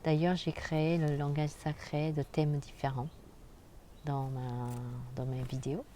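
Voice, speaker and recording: A woman hosting a spiritual channel, talking casually and directly to the viewer in a soft, halting way with frequent hesitations. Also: low-pitched, level tone, a little hiss or hum. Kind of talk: casual spoken vlog monologue.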